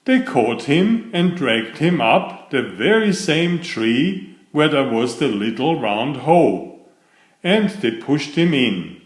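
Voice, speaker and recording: A man reading a children's story aloud in a measured, expressive storytelling manner. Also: mid-range voice, roomy sound.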